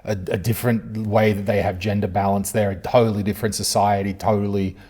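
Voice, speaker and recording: A man's voice: lowish voice, level tone, a little fast, darkish tone, breathy edge. A man hosting a comedy podcast interview, chatting casually and rambling through stories with joking asides. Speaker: podcast host.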